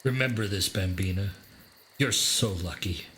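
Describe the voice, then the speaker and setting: A man's voice: sits low, measured pace, sharp tone, a little hiss or hum. A man acting in a radio play as a protective, doting crime-boss father, earnest and paternal. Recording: faint music bed.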